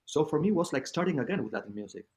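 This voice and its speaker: A man from Argentina, a guest DJ talking in an animated, filler-heavy way in a casual interview. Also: on the high side, rapid-fire. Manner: animated storyteller, many fillers like "like".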